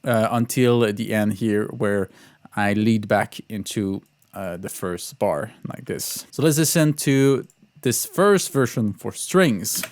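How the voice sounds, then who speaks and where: A man giving an informal music tutorial as a composer, thinking aloud in a halting way. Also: fairly low pitch, a little mellow. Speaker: composer teaching orchestration.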